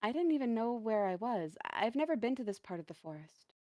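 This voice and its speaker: Woman voicing a lonely dancer in a dramatic story reading, fretful and emotive, fairly high voice, very dry sound. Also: very animated, a little fast, dark tone, no echo. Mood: lost, sad. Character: lonely, anxious, self-doubting dancer lost in a forest.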